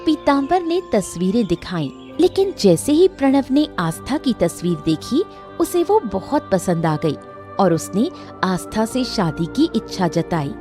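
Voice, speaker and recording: A woman narrates a scripted story at an unhurried pace. Her medium-pitched voice moves with animated intonation, and music plays behind it.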